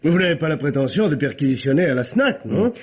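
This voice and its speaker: A muffled radio-play recording of an examining magistrate. His voice sits fairly high and sounds husky. He is brusque and skeptical, prodding with testy condescension. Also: lively intonation, fast talker. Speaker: actor in a radio mystery. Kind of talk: French radio mystery play acting.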